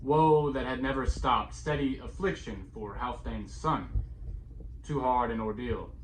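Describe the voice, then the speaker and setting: A man narrating epic verse as a dramatic storyteller, solemn and theatrical, with deliberate pauses and swells. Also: medium pitch. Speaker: storyteller performing an epic poem. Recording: clearly noisy recording, background ambience.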